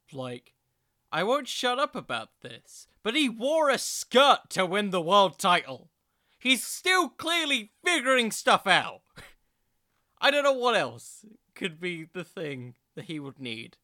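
A man hosting a solo podcast, giving conversational commentary in a musing, halting way with emphatic bursts. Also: high-pitched, pushed and bright, no echo, clean studio sound. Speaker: podcast host.

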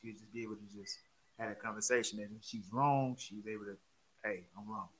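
A soft-spoken man with a smooth voice who rambles casually, filling his talk with many "you know"s. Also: lowish voice, steady tone, a little fast, poor audio. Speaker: guest. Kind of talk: casual group discussion.

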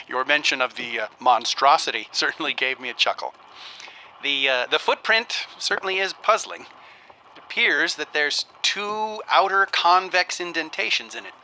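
An actor playing a courteous, erudite male academic who speaks haltingly and keenly while recording a spoken letter in a radio drama. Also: a little high, sharp tone. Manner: erudite, polite, halting with enthusiasm. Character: scholarly, courteous, excitable university academic man. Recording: faintly noisy, old recorded-letter sound.

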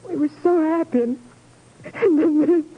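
Distraught widow, high-pitched, halting and earnest, vintage radio play, very thin phone-like recording. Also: female voice. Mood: distraught, bewildered. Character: distraught, bewildered newly widowed young wife. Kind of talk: 1950s radio drama acting. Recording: tinny narrow old radio recording.

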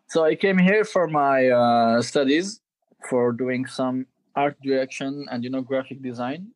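A man's voice: mid-range voice, unhurried, no echo, low-quality recording. A man in his twenties from Algeria, a photographer guest chatting casually, hesitant with many fillers and restarts. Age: 20s. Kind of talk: casual interview chat.

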